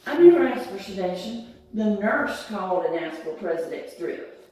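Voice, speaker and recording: A hoarse woman, a respiratory therapist, insistently defending herself in a heated workplace argument. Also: medium pitch, expressive, normal speed, mellow, very echoey, far from the mic, low-quality recording. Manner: defensive, insistent, repeating denials.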